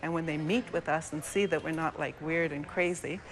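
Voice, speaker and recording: Low-voiced woman, halting and earnest. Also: fairly even intonation, poor audio. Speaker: physician, clinical director, interview guest. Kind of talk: live news interview.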